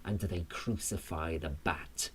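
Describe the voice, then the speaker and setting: A man reciting rhymed verse in a measured, solemn manner, as a poet reading his own work. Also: deep voice. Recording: faint ambient noise.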